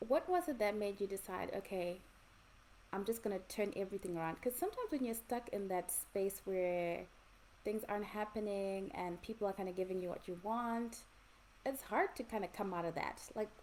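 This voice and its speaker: A woman's voice: medium pitch, animated, moderate pace, a little mellow, slight background noise, low-quality recording. A woman hosting a conversational interview podcast in an enthusiastic, encouraging way.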